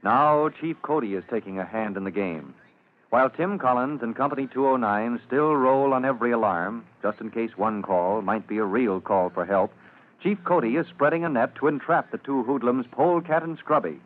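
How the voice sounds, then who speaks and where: A narrow, phone-like old recording carries a male radio announcer. He narrates in a formal, dramatic serial style, at a middling pitch. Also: normal speed.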